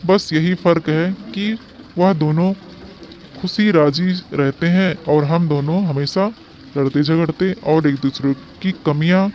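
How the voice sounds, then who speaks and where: A man's voice: a little high, slow, a little mellow. Guy playing a reasonable earnest husband in a moral story, muffled audio, faint noise behind. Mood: earnest explaining. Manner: earnest, self-blaming.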